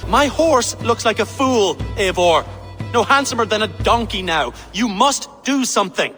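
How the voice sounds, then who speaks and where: An aggrieved Viking-age horse owner, high for a male voice and very animated. He complains formally and plaintively, like a wronged neighbor in a fantasy game. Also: moderate pace, faint music behind. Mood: outraged. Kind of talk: video game drama acting.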